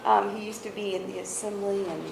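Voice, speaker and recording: An American woman chairing a board meeting, introducing guests informally and haltingly, often checking with others as she goes. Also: mid-range voice, level tone. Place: public board meeting room. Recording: echoey meeting room with clear background noise.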